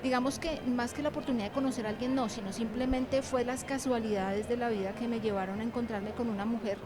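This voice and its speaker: A woman's voice: fairly high pitch, fairly even intonation, fast talker, crowd noise. A middle-aged woman giving conversational interview answers in an informal, meandering way. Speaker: university animation lecturer and author, interview guest. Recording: clear background noise of busy venue. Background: ambient noise of a book fair.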